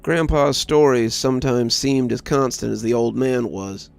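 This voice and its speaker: A man narrating a scripted first-person story as a horror host, with a measured, dramatic storyteller's delivery and a gravelly voice. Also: medium pitch, steady tone. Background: background music.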